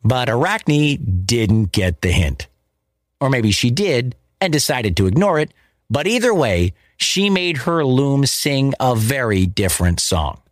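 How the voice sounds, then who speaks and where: A man's voice: mid-range voice, big pitch swings, measured pace. A man telling a myth as a storyteller, in theatrical, emphatic scripted narration.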